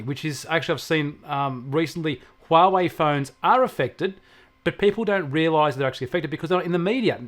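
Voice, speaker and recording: A man's voice: fairly high pitch, a bit bright. An Australian man talking conversationally as a technology commentator, explaining things emphatically and building his points through repetition. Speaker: technology commentator on a regular show.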